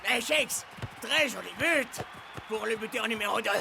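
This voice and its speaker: Cocky cartoon footballer, real high gravelly voice. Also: male voice, unhurried, background noise, exaggerated voice. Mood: teasing. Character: cocky, competitive male football striker. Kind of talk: animated sports series dub.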